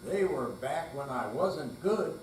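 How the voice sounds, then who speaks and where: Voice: medium pitch, animated, takes it slowly. Distant, echoing older reader on stage. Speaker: readers theater performer. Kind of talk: dramatic reading of verse and monologue on stage. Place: hall at a senior center. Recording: distant echoey room with faint mains hum.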